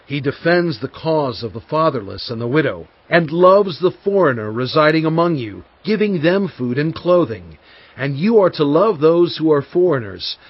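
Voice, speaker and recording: An actor playing a solemn prophet in dramatized scripture, exhorting his people in earnest, measured oratory with weighty pauses. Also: a little high, breathy edge, no echo, full character voice. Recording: dull studio track over faint music.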